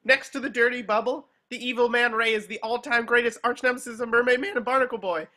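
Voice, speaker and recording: A man voicing a naive, excitable young sea-sponge hero fanboy in a cartoon table reading. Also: extremely high pitch, quick pace. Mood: awestruck. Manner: excitable, earnest.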